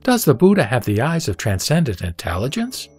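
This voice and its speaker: A man playing the Buddha as a serene teacher, reciting scripture in a measured, didactic, emphatic way. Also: medium pitch, huge pitch swings, darkish tone, breathy edge, faint music behind. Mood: questioning, instructive. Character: the Buddha, serene male spiritual teacher instructing a disciple. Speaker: sutra audio drama reader.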